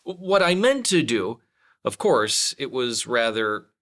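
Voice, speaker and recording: A man's voice: medium pitch, sing-song, slow, fairly bright tone. A man voicing a simple, ponderous, honey-loving storybook bear who muses earnestly and repeats himself. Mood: flustered, rueful.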